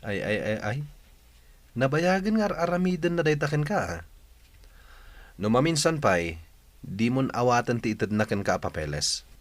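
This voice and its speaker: A man playing a sympathetic, gently scolding office friend in an amateur serial drama. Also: mid-range voice, very animated, normal speed, pauses often, no room sound, close to an everyday voice. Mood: chiding, concerned.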